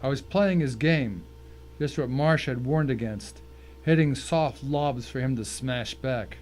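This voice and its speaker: Male narrator, average pitch, scripted dramatic storytelling, slightly noisy humming recording. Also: measured pace, a little mellow.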